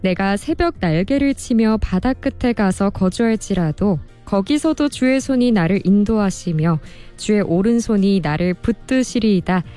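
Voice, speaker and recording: A woman hosting a radio music show, reading listener messages in a polite, expressive presenter style. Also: mid-range voice, normal speed. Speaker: radio host and producer. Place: radio studio.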